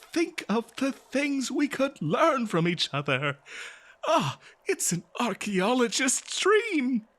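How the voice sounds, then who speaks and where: A man's voice: high voice, a bit bright, heavily acted. A man playing an eager, kindly archaeologist in a fantasy audio roleplay, speaking in a hesitant, stammering, scholarly way straight to the listener. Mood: hopeful, excited. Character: eager, kindly male archaeologist befriending a lonely monster. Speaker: audio drama performer. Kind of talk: scripted fantasy audio roleplay, one-sided dialogue to listener.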